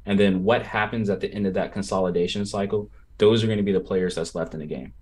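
Low-voiced man explaining on a call. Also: steady tone, quick pace, a crowd in the background. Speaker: interview guest, crypto project founder. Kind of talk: casual explanatory interview talk. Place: home room with gaming chair, on video call. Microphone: desktop microphone on a video call.

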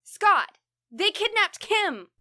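A woman voicing a blunt, sarcastic, excitable teenage girl bandmate in a comic dub. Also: very high voice, takes it slowly, slight background noise, full character voice. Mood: panicked.

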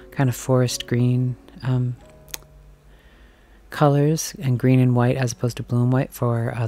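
A woman filming herself as she browses a large antique and thrift shop, chatting casually and musing aloud, sometimes dropping to a soft whisper. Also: a bass voice. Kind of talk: casual walk-and-talk commentary. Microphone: handheld camera mic, filming as she walks.

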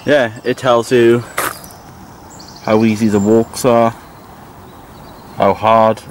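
A man's voice: lowish voice, fairly even intonation, takes it slowly, slight background noise. A man in his twenties with a South Wales accent, chatting informally and thinking aloud as he films a walking vlog. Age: 20s. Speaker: hiking vlogger. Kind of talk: casual vlog commentary while walking. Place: outdoors on a woodland hiking trail. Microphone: handheld camera at arm's length. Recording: outdoor walking vlog with music behind. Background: music.

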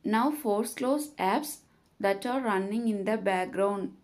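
A woman reading scripted step-by-step tutorial narration in a measured, instructional manner. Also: medium pitch, darkish tone.

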